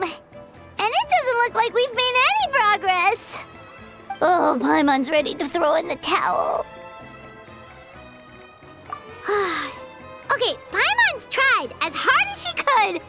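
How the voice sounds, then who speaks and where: A woman voicing a tiny, excitable, gluttonous fairy companion in a video game, squeaky and childlike, exclaiming dramatically. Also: exaggerated voice. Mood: defeated, dramatic. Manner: refers to self in third person, exclamatory.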